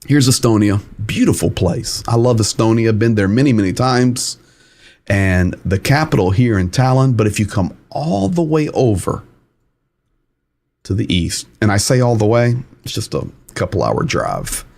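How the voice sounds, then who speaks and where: A man's voice: on the low side, very animated, moderate pace, creaky, darkish tone. A man hosting a live news-commentary stream, talking casually and rambling while walking viewers through a map. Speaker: host.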